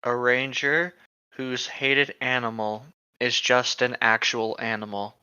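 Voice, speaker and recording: Bright-voiced man on a dull, dry mic. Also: medium pitch, level tone, slow, clean tone, dry recording. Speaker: podcast co-host. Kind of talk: casual chat and reading gaming stories aloud.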